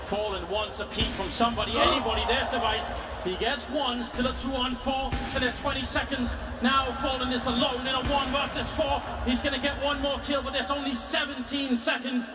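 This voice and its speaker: A man commentating a live esports match, energetic and rising to shouts at the big plays, heard on an arena broadcast. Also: very high voice, fairly quick, recorded from a distance. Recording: arena broadcast with loud crowd and music.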